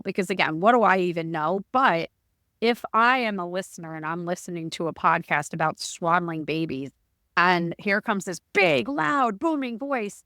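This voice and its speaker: Loud, booming woman co-host. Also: no room sound, studio quality. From Arizona, USA. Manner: energetic, animated, jokey. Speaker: podcast co-host. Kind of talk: casual podcast chat.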